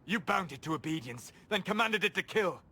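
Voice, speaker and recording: An actor playing a grave, scholarly elven male mage with measured, formal phrasing in a video game drama. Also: sits high, expressive, sharp tone, big-room sound, exaggerated voice. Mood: cold fury.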